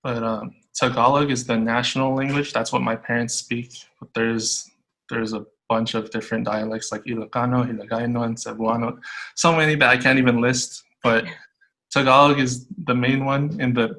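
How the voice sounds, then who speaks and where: Bright-voiced man with a flat delivery. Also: mid-range voice, moderate pace, low-quality recording. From United States. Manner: friendly, relaxed, with fillers and restarts. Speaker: school tech support guest. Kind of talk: casual interview answers.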